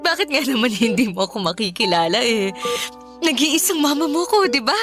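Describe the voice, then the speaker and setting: A woman's voice: fairly high pitch, sing-song, brisk. A woman playing a devoted, anxious Filipino mother in a radio drama, earnest and emotional. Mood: tender, tearful. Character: devoted, hopeful, anxious mother of a sick boy. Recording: music bed behind.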